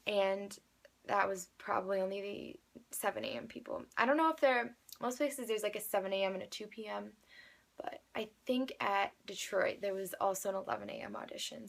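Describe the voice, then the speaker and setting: A woman's voice: mid-range voice, creaky. A woman vlogger telling a personal story in a casual, chatty, rambling conversational way.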